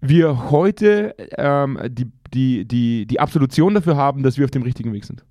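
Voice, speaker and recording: A hoarse man co-hosting a podcast from an office, chatting in a quick, joking and teasing way. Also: medium pitch, expressive, dark tone, no echo, studio quality. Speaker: podcast co-host. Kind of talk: casual joking chat.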